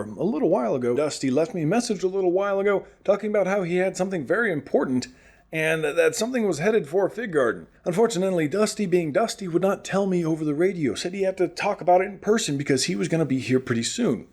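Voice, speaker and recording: A man playing an affable, earnest radio show host in a post-apocalyptic comedy drama, chatty, rambling and gently teasing. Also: high-pitched, animated, quick pace. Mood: rambling, upbeat.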